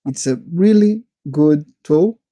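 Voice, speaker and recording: A man's voice: on the high side, animated, takes it slowly, dark tone, no echo. A man giving a casual, matter-of-fact product walkthrough as a reviewer, going through features step by step. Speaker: software reviewer, channel host.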